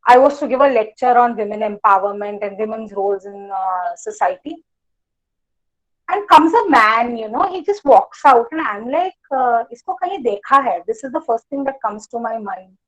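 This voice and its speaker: A woman of about forty with an Indian accent and a hoarse voice, giving a blunt, emphatic motivational talk full of personal anecdotes. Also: on the high side, expressive, moderate pace, poor audio. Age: late 30s or around 40. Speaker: motivational speaker.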